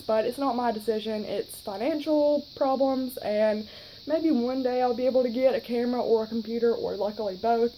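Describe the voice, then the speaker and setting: A young woman in her twenties with a Southern accent talks straight to her phone in a relaxed, rambling way, full of "you know" and "um". Her voice sits a little high but sounds mellow, with a faint hiss of noise under it. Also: rough recording. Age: 20s. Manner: chatty, rambling, frequent fillers. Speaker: vlogger. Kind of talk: casual chat to camera.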